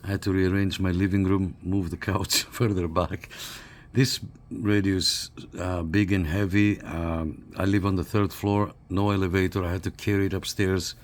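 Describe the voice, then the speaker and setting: Deep-voiced man giving a product walkthrough. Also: level tone, moderate pace. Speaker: radio reviewer. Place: living room in an apartment.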